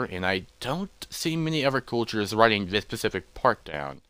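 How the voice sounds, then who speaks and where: A man's voice: lowish voice, fairly bright tone, dry recording. Guy doing a careful bookish adventurer in a fantasy tale, mumbling to himself, unsure, voice jumps around, clean dry sound. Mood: intrigued, musing. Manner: hesitant, muttering to himself. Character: cautious, scholarly male adventurer musing to himself.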